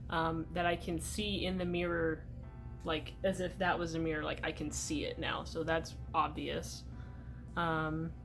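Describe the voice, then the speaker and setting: A young man talking casually in a video diary update, chatty and relaxed, with many "like" and "um" fillers. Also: a little high. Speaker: vlogger.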